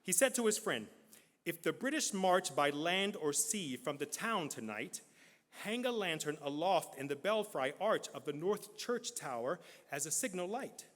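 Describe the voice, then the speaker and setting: A man giving a dramatic poetry reading at a live event, with animated, rising emphasis on key words. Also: on the high side, measured pace. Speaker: poem reader. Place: large church hall. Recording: long reverb of a large hall.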